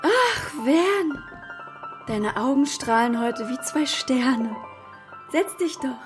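A woman's voice: sits high, animated, slow, over music. A woman playing a gushing, blissed-out mother doting on her daughter in a children's radio play, dreamy and affectionate. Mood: blissful, adoring.

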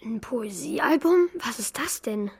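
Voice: mid-range voice, lively intonation, takes it slowly, vocal fry, a little mellow, breathy edge, full character voice. A performer playing a curious, slightly shy schoolboy in a children's radio play, speaking hesitantly and colloquially. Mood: curious, teasing. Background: music.

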